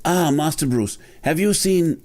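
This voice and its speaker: An actor playing a concerned, devoted older butler in an audio drama, speaking in a polite, measured, formal way. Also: male voice, a little high, background noise, natural voice. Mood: worried, polite.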